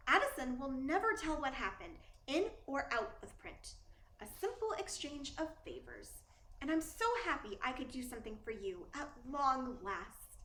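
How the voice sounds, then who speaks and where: Woman acting young scheming actress, high swooping voice. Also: a bit bright. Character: young scheming actress, falsely humble, coldly manipulative. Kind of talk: stage-style radio play acting. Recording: roomy echo, faint noise.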